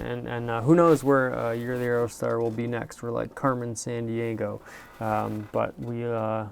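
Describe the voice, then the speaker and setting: A man hosts a laid-back car review, wandering from point to point and poking fun at himself. His voice has a gravelly fry under a smooth, resonant tone. He pads his talk with plenty of fillers. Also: mid-range voice. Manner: meandering and self-deprecating, heavy on fillers. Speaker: host of a car review show. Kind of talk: casual, rambling car review.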